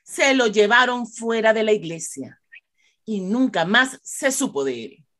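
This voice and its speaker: A woman's voice: fairly high pitch, lively intonation, unhurried, a bit bright. A woman with a Venezuelan accent narrating a melodramatic radio soap, telling the story dramatically. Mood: dramatic.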